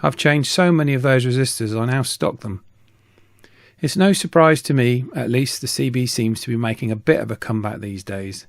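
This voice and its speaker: A middle-aged man narrates a hobby video in a medium-pitched voice. He reads from a script as the host, in a voice-over style. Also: moderate pace, no echo, clean studio sound. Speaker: YouTube host, radio hobbyist.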